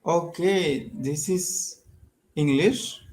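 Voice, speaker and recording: A man's voice: a little high, drawn-out words, darkish tone, slight background noise. A man from Mexico with a Mexican Spanish accent, a native Spanish speaker talking in hesitant learner English on a video call. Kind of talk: casual video-call lesson chat.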